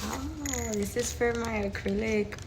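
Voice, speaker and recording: A woman nail technician with an accent chatting excitedly as she unboxes her supplies in a casual vlog. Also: fairly high pitch, takes it slowly, very noisy. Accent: non-native English accent. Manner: chatty and excited, lots of fillers. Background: loud crinkling and rustling of packaging.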